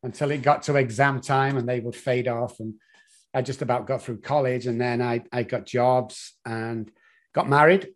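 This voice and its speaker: A middle-aged man with a Manchester accent, a guest telling his life story in an animated, rambling way with many fillers. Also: medium pitch, normal speed. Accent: Mancunian English. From Manchester, England. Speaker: guest, addiction consultant. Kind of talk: conversational storytelling.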